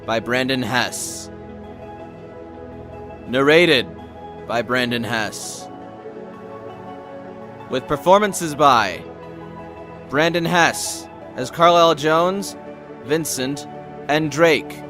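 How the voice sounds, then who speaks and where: A man's voice: medium pitch, very slow, pauses often, bright, forceful tone, faint music behind. A man reading scripted story narration for an audio drama as its narrator.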